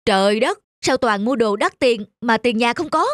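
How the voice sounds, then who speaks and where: Woman acting brisk middle-aged cartoon landlady. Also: a little high, animated, natural voice. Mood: exasperated. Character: practical, exasperated but lenient middle-aged landlady. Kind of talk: animated moral-story dialogue. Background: background music.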